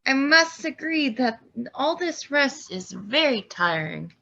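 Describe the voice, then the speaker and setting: A high, piercing young hobbit lad, played by a woman in a fantasy script reading. He is whiny and melodramatic, drawing his words out slowly, on a dull microphone. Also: big pitch swings, gravelly, sharp tone, full character voice. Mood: whiny, fed up. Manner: complaining, melodramatic. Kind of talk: table read of a fantasy script.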